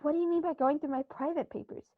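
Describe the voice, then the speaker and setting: A genteel, emphatic country gentleman, played by a woman in a theatrical old radio drama style. The voice is high, and the recording is close and dry with a muffled top end. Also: fairly even intonation, fast talker, no room sound, close to an everyday voice. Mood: indignant. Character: cordial, indignant upper-class English country squire, male. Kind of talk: 1930s-style radio play acting.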